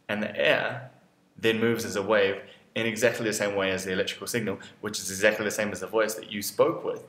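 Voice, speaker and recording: A man in his thirties presenting an explainer, talking deliberately step by step with pauses. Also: lowish voice, fairly bright tone. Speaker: educational video presenter. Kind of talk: explainer narration to camera. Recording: roomy indoor echo.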